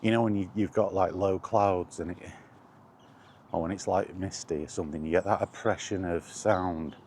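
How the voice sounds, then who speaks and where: A man's voice: deep voice, fairly even intonation, normal speed, darkish tone, slight background noise. A British man giving a rambling, casual vlog chat in a blunt, opinionated manner. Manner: opinionated, blunt, mildly sweary. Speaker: video blogger.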